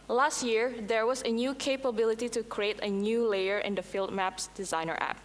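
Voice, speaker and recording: A woman whose first language is Indonesian, presenting a scripted software demo at a conference, with a few slips and restarts. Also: on the high side, fairly even intonation, normal speed, slight background noise. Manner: reads prepared lines, occasional slips and restarts. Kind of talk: scripted product demo talk. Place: conference hall. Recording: long hall reverb, faint ambience.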